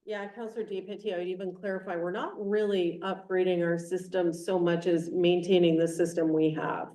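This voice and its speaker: A woman's voice: lowish voice, flat delivery, fairly quick, dark tone. Mayor woman in an echoey chamber. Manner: plainspoken, explaining with everyday examples. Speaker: mayor chairing a council meeting. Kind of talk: chairing a public meeting. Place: council chamber. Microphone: distant room mic. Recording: echoey council chamber from far off.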